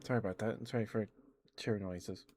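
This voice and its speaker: A man hosting an ASMR livestream, speaking softly and intimately and slipping into whispers. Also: lowish voice, fairly even intonation, normal speed, slight background noise.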